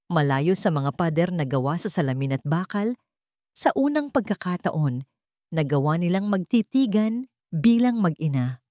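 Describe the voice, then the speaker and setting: A woman's voice: medium pitch, dark tone, no echo, studio quality. A woman with a Filipino accent reading a dramatic story as its narrator in scripted storytelling style.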